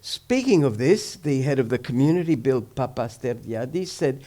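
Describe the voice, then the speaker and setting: A man's voice: mid-range voice, lively intonation, moderate pace, mellow. Man giving a rambling academic talk. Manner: discursive, many ums and self-corrections. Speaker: professor presenting research. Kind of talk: academic lecture. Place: event hall.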